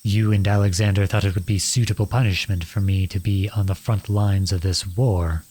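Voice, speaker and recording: Casting: male actor for a young outlaw prince, earnest and defiant, lower-pitched husky voice, audio drama. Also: fairly even intonation, darkish tone. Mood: conflicted, disbelieving. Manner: earnest, deliberate, pleading and accusing. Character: defiant outlawed young prince confronting his cruel king father. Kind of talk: dramatic audio roleplay acting.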